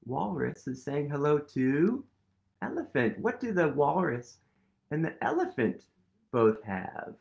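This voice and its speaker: Animated man reading aloud to children. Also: fairly high pitch, gravelly, highs cut off. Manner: animated, playful, leads kids in actions. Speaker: storytime reader. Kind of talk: children's picture book read-aloud. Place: small room indoors.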